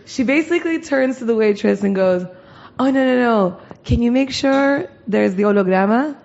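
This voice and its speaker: A woman's voice: on the high side, expressive, moderate pace, vocal fry, a little mellow. A woman co-hosting a casual podcast, telling an anecdote in a light, joking way with frequent laughter. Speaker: podcast co-host. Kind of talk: casual chat. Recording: dull lo-fi podcast audio.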